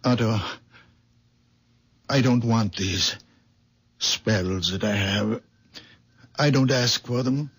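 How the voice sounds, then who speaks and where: A halting, apologetic very old man. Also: mid-range voice, breathy edge. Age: older. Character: gentle, troubled very old man with healing hands. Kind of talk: 1970s radio drama acting.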